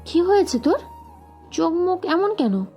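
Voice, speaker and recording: An actress playing a lively young woman in an audio love story, chatty and coaxing with her close companion. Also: extremely high pitch, a little slow, exaggerated voice. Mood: concerned. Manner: chatty, persuasive. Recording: background music under the voice.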